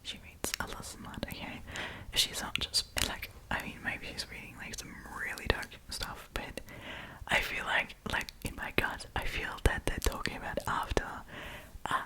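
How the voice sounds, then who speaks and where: A woman speaking in a breathy whisper, chatty and informal, as an ASMR storytime host reading and commenting casually. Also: normal speed, low-quality recording.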